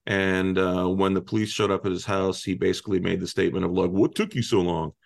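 A man in his fifties from Iran hosting a casual panel discussion, animated, laughing often and breaking into asides. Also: low-pitched, fast, creaky, no echo. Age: 50s. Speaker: host.

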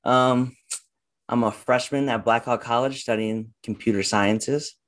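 A young man, a guest on a podcast, talking casually and haltingly with many fillers. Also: medium pitch, fairly even intonation. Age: young adult. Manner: halting, lots of "like" and "uh".

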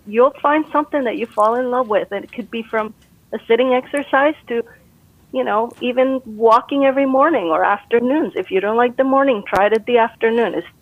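A woman's voice: a little high, brisk, no echo. A woman from Costa Rica, a brain health expert, giving upbeat, encouraging advice in a casual interview over a call. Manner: upbeat, encouraging, laughs easily. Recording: tinny phone line.

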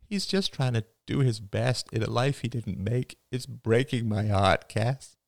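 A man's voice: mid-range voice, very animated, moderate pace, gravelly, no echo, clean studio sound, voice pushed up. A man voicing an anxious, earnest young father who pleads with feeling in an audiobook reading. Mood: pleading. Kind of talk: audiobook dialogue.

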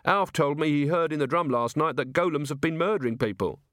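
A man's voice: fairly high pitch, expressive, brisk, fairly bright tone, no echo, clean studio sound, pitched above the performer's own voice. A man voicing a blunt working-class male timber merchant with a colloquial, complaining manner in an audiobook. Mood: aggrieved.

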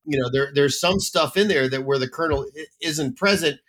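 A man talking through a film review in a loose, casual back-and-forth. His voice sits a little higher than usual for a man. He states his opinions bluntly and with emphasis. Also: fairly quick, a bit bright. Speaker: film critic co-host.

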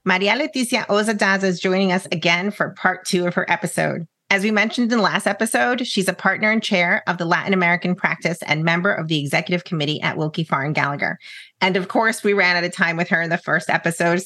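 A woman's voice: on the low side, fast, pushed and bright. A woman co-host reading a podcast introduction in an upbeat tone. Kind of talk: scripted intro. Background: faint music.

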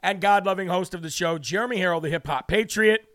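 A man's voice: high-pitched, fast, a bit bright, dry recording, clean studio sound. A man from New Hampshire hosting a live political talk show, brash and preachy, losing his voice as he reads out viewer comments.

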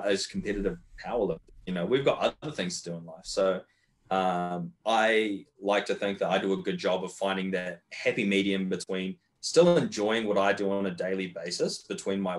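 A low-voiced young Australian man chatting casually on a podcast. He talks candidly, wanders through his thoughts with restarts, and drops the odd swear word. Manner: candid, rambling, swears freely. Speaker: guest powerlifter.

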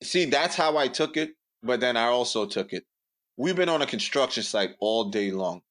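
A man's voice: mid-range voice, lively intonation, normal speed, a bit bright. A young man, telling stories bluntly and building up jokes on a podcast. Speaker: podcast co-host. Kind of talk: casual storytelling chat.

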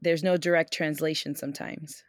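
A woman's voice: sits low, steady tone, moderate pace, no room sound, studio quality. A woman from Puerto Rico co-hosting a podcast, chatting in an easygoing, agreeable way. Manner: easygoing, agreeable, many fillers. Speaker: podcast co-host. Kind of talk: casual podcast conversation.

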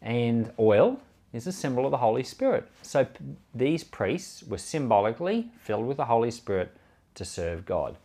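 A man's voice: mid-range voice, a little mellow. A man speaking as a pastor, giving informal Bible commentary in an earnest, conversational, explanatory way. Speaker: pastor and Bible podcast host.

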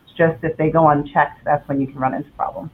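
A woman in her forties on a podcast panel, speaking in a thoughtful, measured way. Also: sits low, fairly even intonation, slight background noise. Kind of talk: casual panel discussion. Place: remote from home, New York. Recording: tinny phone-like remote line.

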